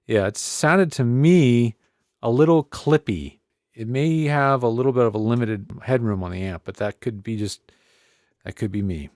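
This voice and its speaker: A man's voice: medium pitch, normal speed, a little mellow, dry recording. A man presenting a casual hands-on audio gear tutorial, thinking aloud in a conversational way on a close studio microphone. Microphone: close studio podcast microphone.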